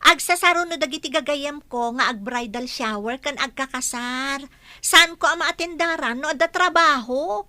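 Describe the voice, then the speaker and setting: A woman's voice: high voice, normal speed, pushed and bright, dry recording. A woman playing a cheeky, lazy young daughter in an Ilocano comedy radio drama, whining and arguing with an Ilocano Filipino accent. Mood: whiny, defiant.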